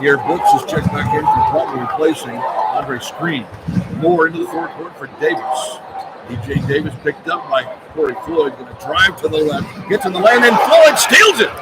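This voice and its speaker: A man calling live basketball play-by-play on the radio in clipped, excitable running phrases. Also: on the high side, moderate pace. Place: courtside in a basketball arena. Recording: loud arena crowd noise. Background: roaring crowd, loud gym noise.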